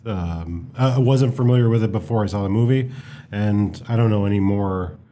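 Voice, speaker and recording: A man's voice: fairly low pitch, normal speed, warm tone. An American man in a casual film discussion as a co-host, explaining things in a hesitant, rambling way. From United States.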